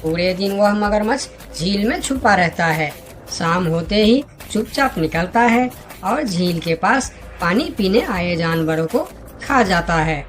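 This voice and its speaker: A woman voicing friendly talking forest animals in a cartoon fable, with expressive, measured delivery. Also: on the low side, faint music behind. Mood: earnest, pleading. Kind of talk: cartoon story character dialogue.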